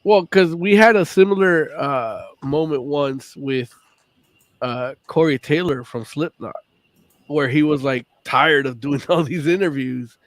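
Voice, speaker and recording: A man co-hosting a casual podcast, talking in a relaxed, halting way with many fillers. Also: on the high side, dry recording. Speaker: podcast co-host. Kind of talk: casual chat.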